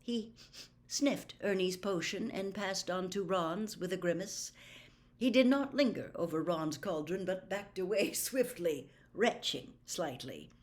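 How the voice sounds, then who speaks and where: A woman reading a novel aloud as a storyteller, with deliberate, dramatic and expressive narration. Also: on the low side. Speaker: audiobook reader.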